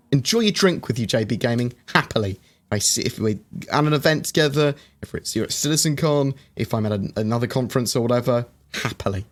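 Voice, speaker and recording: Guy rambling thru chat questions on his stream, lots of ums, mid voice, bit of music in the back. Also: medium pitch. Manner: chatty, reads viewer questions aloud and answers with many fillers. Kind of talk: casual livestream Q&A.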